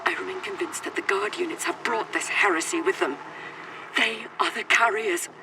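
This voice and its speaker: A man's voice: high-pitched, normal speed, background noise. An actor playing a frightened, urgent man sending formal, pleading distress transmissions over radio in a sci-fi game. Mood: tense, bitter. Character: frightened, desperate male radio broadcaster in hiding during invasion.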